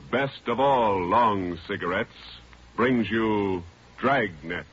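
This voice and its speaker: An American man announcing a vintage radio crime drama in a grave, deliberate, authoritative way. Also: lowish voice, background noise. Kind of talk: solemn 1950s radio scripted narration. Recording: vintage muffled radio recording, loud music.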